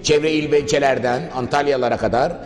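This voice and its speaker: A man's voice: medium pitch, fairly even intonation, fast. A man hosting a formal promotional TV interview, speaking in long, polite, wordy sentences. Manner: wordy, formal, addresses viewers and guest politely. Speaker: interviewer, TV host. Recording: echoey reverberant room, music behind. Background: background music.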